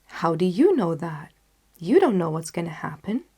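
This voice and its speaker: A woman reading the part of a loyal, stubborn gentleman companion in a storybook, earnest and exclamatory. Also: fairly low pitch, big pitch swings, normal speed, husky, full character voice.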